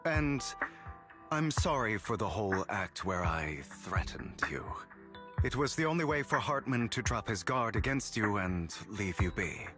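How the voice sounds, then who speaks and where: An actor playing a suave, sardonic gentleman thief and con artist in a video game, with a grandiose, silky, deliberate delivery. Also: lowish voice, very animated. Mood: sincere. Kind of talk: dramatic video game voice acting. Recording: background music under voice.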